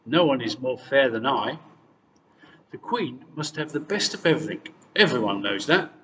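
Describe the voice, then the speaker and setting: A man's voice: medium pitch, animated, normal speed, a bit bright, far from the mic, slight background noise, close to an everyday voice, rough recording. A man voicing a vain, imperious wicked Queen in a fairy tale, haughty and commanding. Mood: outraged.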